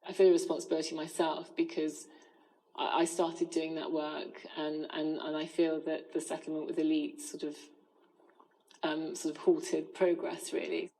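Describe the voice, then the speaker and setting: A woman gives personal testimony as a news interviewee. Her voice is low and flat in intonation, and she speaks haltingly, repeating words. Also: vocal fry, low-quality recording. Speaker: interviewee, former journalist.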